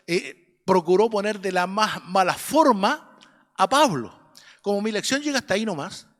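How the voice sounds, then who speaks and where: A man's voice: high-pitched, long reverb tail. A man with a Chilean accent teaching an adult Sunday school class, earnest and preachy, often checking that listeners agree. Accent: Chilean Spanish. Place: church classroom.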